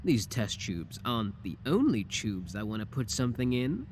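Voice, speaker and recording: A man's voice: medium pitch, very animated, moderate pace, darkish tone, slight background noise, lightly acted. A male voice actor playing a shameless, flirty tiny clone scientist in an ASMR audio roleplay, teasing and suggestive in a theatrical way. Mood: smug, suggestive.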